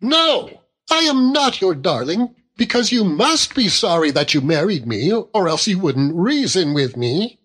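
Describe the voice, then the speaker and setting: A man's voice: high voice, sing-song, a little slow, fairly bright tone, husky, pitched above the performer's own voice, heavily acted. A man voicing a childish, plaintive young Victorian wife who pouts and wheedles in a storybook reading. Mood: hurt, pouting. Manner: pouting, plaintive.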